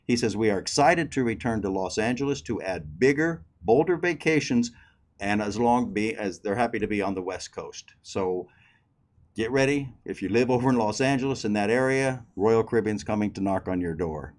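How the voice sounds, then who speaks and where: A man's voice: fairly low pitch, animated, normal speed. A man hosting a cruise news show and interviewing a guest, talking in a chatty way straight to his listeners. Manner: chatty, addresses crew members directly. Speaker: host.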